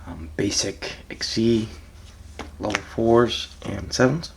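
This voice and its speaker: A raspy, just-woken man, gravelly and low. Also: slow, slight background noise. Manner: laid-back, hesitant, frequent ums, trails off softly. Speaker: card game player presenting his deck. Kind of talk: casual deck walkthrough.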